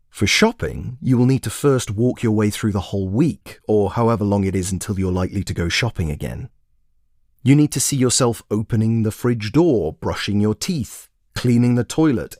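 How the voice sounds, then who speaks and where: Man acting a pompous, low-voiced obsessive planner. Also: a little mellow, no room sound, studio quality. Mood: smug lecturing. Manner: pompous, precise, condescending. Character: smug, pedantic, self-admiring obsessive male traveller packing. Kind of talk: first-person comic monologue, scripted audio story.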